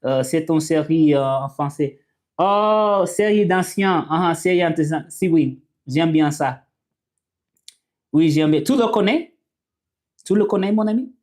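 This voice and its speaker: Slow, halting man with an English-speaking accent. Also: on the high side, lively intonation, darkish tone. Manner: slow and halting, with many fillers and restarts. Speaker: livestream host. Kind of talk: casual live chat reading viewer comments.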